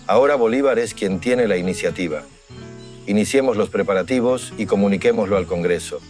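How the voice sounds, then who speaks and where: An actor playing a weary, ailing yet resolute general and liberator in a historical audio drama, speaking in a solemn, formal way. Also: male voice, lowish voice, a little fast, a little mellow, soft background music, close to an everyday voice. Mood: weary, confidential.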